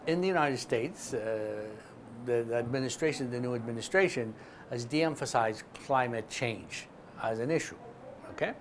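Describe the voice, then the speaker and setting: A man's voice: mid-range voice, slight background noise. A man from Ethiopia explaining business as an interview guest, speaking emphatically and punctuating his points with short check-ins. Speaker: interview guest, investment fund chairman.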